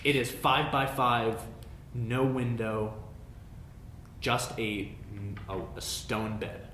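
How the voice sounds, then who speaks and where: A man improvising tabletop game narration as game master, halting as he thinks up details. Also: steady tone, bright, forceful tone. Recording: echoey room with faint rumbling noise.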